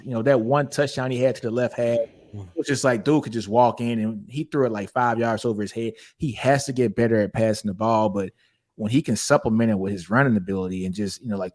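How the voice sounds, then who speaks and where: A man's voice: on the low side, darkish tone. Laid-back man talking sports. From Louisiana, USA. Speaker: podcast co-host. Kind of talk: casual sports talk.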